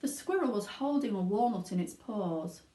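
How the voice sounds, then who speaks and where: A woman narrating a children's storybook aloud in an expressive reading style. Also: mid-range voice, normal speed. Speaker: teaching assistant reading aloud. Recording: echoey room.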